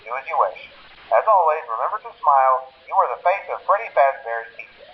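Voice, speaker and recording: Nervous corporate phone trainer, video game character, higher-pitched male voice, polite deadpan instructions, telephone filter, faint background noise. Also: fairly quick, narrow, tinny audio. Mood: chipper corporate. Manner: hesitant greetings, then polite deadpan procedural delivery. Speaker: employee training caller. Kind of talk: recorded workplace training message.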